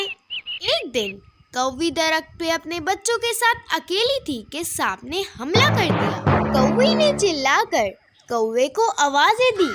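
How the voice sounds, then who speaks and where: Very high-pitched, animated woman storyteller. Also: a little hiss or hum. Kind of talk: scripted children's story narration.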